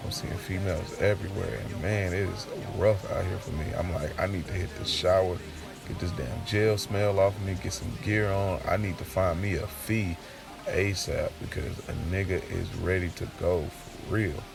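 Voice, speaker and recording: Man acting a gravelly, slangy streetwise guy. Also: sits low, fairly even intonation, normal speed, recorded from a distance, over music. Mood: relaxed, upbeat. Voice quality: gravelly, creaky. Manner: conversational, slangy storytelling. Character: streetwise young man just out of jail, reminiscing. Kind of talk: first-person audio drama narration in casual street slang.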